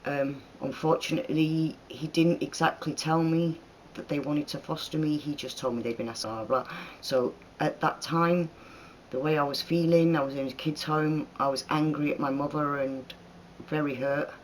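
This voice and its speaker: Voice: mid-range voice, fairly even intonation, normal speed, slight background noise, poor audio. A speaker in their thirties from northern England, with an accent that mixes northern and southern English, casually chatting through viewer questions, rambling and laughing often. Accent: mixed northern and southern English. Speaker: channel host answering viewer questions. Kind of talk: casual Q&A chat.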